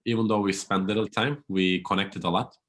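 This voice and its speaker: A man hosts a podcast interview and asks his questions in an earnest, measured way. His voice is very deep. He speaks English. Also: fairly even intonation, a bit bright. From Turkey. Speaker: podcast host.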